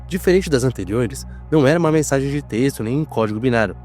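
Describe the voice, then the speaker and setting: A man with a Brazilian accent narrating a scripted horror mock documentary in a solemn, measured, dramatic manner. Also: mid-range voice, expressive, darkish tone, soft background music.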